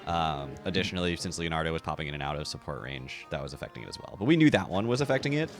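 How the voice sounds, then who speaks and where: A man's voice: a bass voice, sing-song, rapid-fire, soft background music. A man hosting a game livestream, chatting casually into a streaming mic. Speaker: livestream host.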